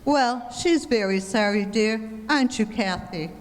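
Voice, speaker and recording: A woman playing a patient, soothing wife and mother in an old-time radio family comedy, placating and gently firm. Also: fairly high pitch, a little slow, slight background noise, close to an everyday voice. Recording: reverberant hall stage recording.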